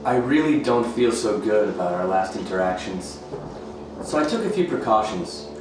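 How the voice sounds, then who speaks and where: A man's voice: lowish voice, animated, normal speed, music in the background, lightly acted. Smug American man in an echoey room, acted. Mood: quietly menacing. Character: smug, menacing male tenant feigning victimhood. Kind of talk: radio thriller acting. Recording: roomy, distant, noisy recording.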